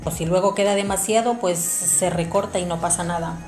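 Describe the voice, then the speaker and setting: A woman's voice: lowish voice, steady tone, fairly quick, a little mellow. A woman giving an informal hands-on craft tutorial, explaining each step directly to viewers. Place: small domestic room indoors. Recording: roomy echo, music behind.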